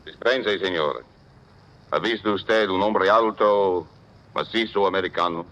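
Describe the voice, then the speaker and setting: Low-voiced policeman, muffled old radio. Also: normal speed, fairly bright tone. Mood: formal. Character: polite policeman hunting a fugitive. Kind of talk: old-time radio drama acting. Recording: dull, noisy vintage radio recording.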